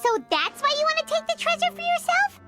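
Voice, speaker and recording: Little childlike sidekick: piercingly high, squeaky, eager anime game voice over loud music. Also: female voice, a little fast. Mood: indignant. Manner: squeaky, animated. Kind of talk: anime video game voice acting.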